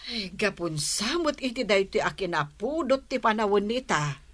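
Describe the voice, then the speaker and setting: A woman's voice: mid-range voice, expressive, moderate pace, fairly bright tone. A woman playing a playful, exclamatory village gossip in a radio comedy drama, with an Ilocano accent. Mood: amused teasing. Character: giggly, playful village gossip siding with her friend.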